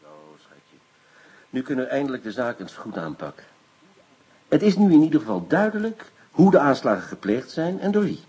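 Blustering, excitable police inspector. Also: male voice, medium pitch, brisk, slightly rough. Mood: brisk, musing. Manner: blustering, exclamatory, commanding. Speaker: actor in a radio play. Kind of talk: Dutch radio drama acting.

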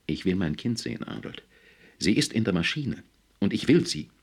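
A man's voice: low voice, sing-song, fairly quick, vocal fry, exaggerated voice. A man voicing a desperate, stubborn, hysterical grieving mother in an audiobook story. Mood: furious insistence.

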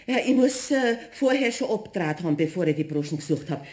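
A woman's voice: on the low side, lively intonation, a little fast, darkish tone, lightly acted. A woman playing a fussy, superstitious, stubborn cleaning woman in a radio play, lamenting dramatically in a Bavarian accent. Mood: flustered. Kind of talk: German radio play acting.

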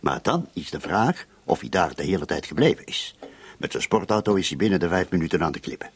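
A man's voice: sits low, lively intonation, a little fast, husky, full character voice. A man acting a suspicious, pensive police commissioner in a radio play. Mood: suspicious, reasoning.

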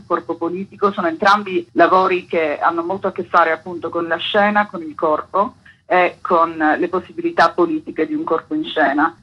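Husky woman interviewee on a dull lo-fi recording. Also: on the low side. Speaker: festival artistic co-director, interview guest. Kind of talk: conversational interview answers. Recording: dull, lo-fi sound.

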